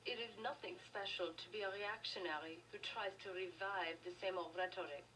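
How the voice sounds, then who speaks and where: An actress playing an earnest underground political activist in a video game, speaking in an intellectual, declamatory way. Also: female voice, mid-range voice, steady tone, moderate pace, fairly bright tone, lightly acted. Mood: argumentative. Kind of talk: video game dialogue acting. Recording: distant, noisy game audio.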